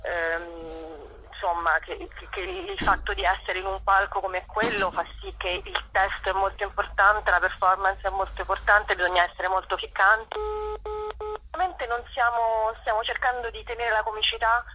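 A woman's voice: medium pitch, moderate pace, bright, forceful tone, a little hiss or hum. A woman giving a spontaneous radio interview as a performer and show organiser, often searching for words. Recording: dull, phone-like radio call.

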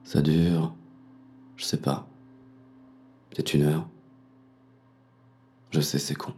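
An actor playing a paranoid, brooding police inspector on the run, narrating a noir audio drama in coarse first person. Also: male voice, rumbling low, little pitch movement, slow pace, a little mellow. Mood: weary, subdued. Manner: gritty, coarse, brooding inner monologue.

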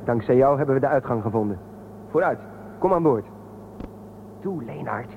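A man's voice: medium pitch, lively intonation, moderate pace. A man playing a blunt space crewman. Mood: forceful. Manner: blunt and forceful. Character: tough male space crewman, commanding and blunt. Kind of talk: radio drama acting. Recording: tinny lo-fi recording with clear noise.

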